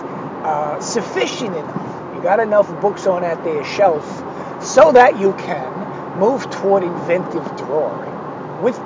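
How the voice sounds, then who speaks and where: Hoarse, high-voiced man rambling. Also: lo-fi sound, noisy background. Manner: digressive, hesitant with many fillers, sardonic asides. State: hoarse, losing his voice. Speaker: art instructor. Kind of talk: rambling spoken art lesson.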